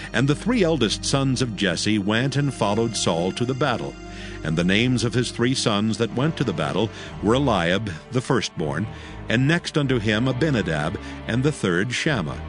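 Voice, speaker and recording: Man, scripture narrator, lowish voice, solemn, expressive intonation, music behind. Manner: solemn, measured storytelling.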